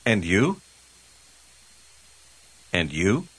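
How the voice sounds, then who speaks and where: A man models phrases for a language course, pronouncing each one very slowly and clearly. His voice is fairly low but bright and forward. His intonation swings widely. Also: sing-song, poor audio. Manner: deliberate, clearly enunciated. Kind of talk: scripted phrase repetition.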